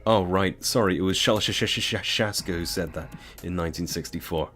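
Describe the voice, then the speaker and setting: British guy reading aloud with chatty asides, very low voice, faint music. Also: a little fast, fairly bright tone. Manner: chatty, swearing, laughs at own jokes. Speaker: streamer reading a game novel. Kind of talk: reading aloud with casual commentary.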